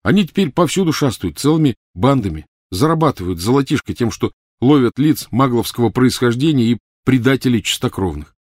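A man's voice: medium pitch, expressive, brisk, a little mellow, no room sound, clean studio sound, pitched above the performer's own voice, close to an everyday voice. A man voicing a defensive, talkative teenage boy wizard pleading his case in an audiobook reading.

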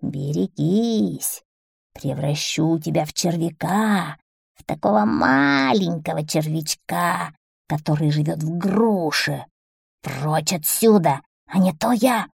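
A storybook witch, very old and menacing. Her voice is croaky and dark, recorded close and dry. She snaps and threatens like someone who loses her temper fast. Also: medium pitch, animated, no room sound. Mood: quietly menacing. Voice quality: hoarse, creaky. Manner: snappish, threatening. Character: ancient, hot-tempered, menacing female witch.

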